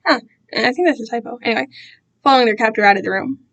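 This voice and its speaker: A woman giving an amateur dramatic reading of a story, narrating in an expressive, emotive and informal manner. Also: on the high side, racing, creaky.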